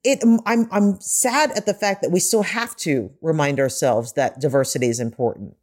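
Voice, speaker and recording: A woman's voice: low voice, normal speed, darkish tone. A woman hosting a podcast in an emphatic, effusive conversational way. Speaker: guest podcast host.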